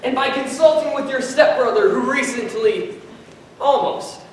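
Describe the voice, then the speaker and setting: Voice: high-pitched, normal speed. A teenager in a school readers theater, delivering a mock-scientific explanation. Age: teens. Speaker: student performer. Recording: echoey room from far off with noise.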